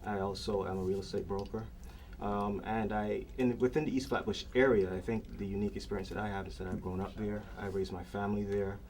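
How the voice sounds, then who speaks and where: Fast-talking deep flat-voiced man. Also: slight background noise. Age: 30s. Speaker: attorney and council candidate. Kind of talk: introducing himself on a panel.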